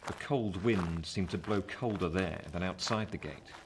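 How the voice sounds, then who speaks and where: A man acting as a grown man who recounts his boyhood in literary narration. His voice is on the low side. Faint noise sits behind the home recording. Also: measured pace.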